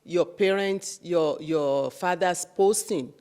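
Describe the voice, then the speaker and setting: A woman hosting an interview who talks at an unhurried pace. Her voice sits somewhat low, and she hesitates often, filling pauses with fillers as she frames her questions. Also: a little mellow, clean tone. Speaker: host. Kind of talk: interview conversation.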